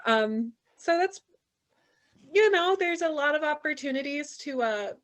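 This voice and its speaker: A young woman with a high, nasal voice, chatting casually in a group conversation and often saying "like". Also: expressive, unhurried, a bit bright. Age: young adult. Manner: chatty, upbeat, uses "like" a lot. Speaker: guest.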